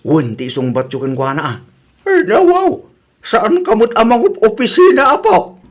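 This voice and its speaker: Napasindayag nga ulbod a nobio. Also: male voice, very high-pitched, big pitch swings, normal speed, full character voice. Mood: pleading, scheming. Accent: Ilocano. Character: boastful lying young suitor eager to marry. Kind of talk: Ilocano radio comedy drama acting.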